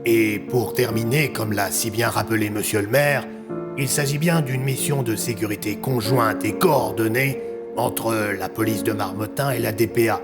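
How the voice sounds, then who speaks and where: A man playing a police commissioner in an audio drama, making a measured, assertive official statement. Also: on the low side, loud music behind the voice, lightly acted. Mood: firm.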